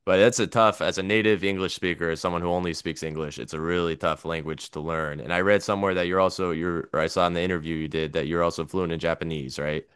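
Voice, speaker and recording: A man's voice: deep voice, steady tone, fast, hardly any pauses, a bit bright, smooth, no echo, clean studio sound. An American man hosting a podcast, asking chatty, rambling questions with lots of fillers. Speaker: podcast host. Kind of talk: casual interview.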